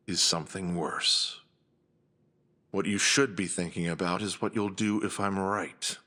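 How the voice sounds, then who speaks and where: A man acting a cold, commanding crime boss in an audio drama, measured and imperious. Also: sits low, pushed and bright, exaggerated voice. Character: cold, commanding male former syndicate boss.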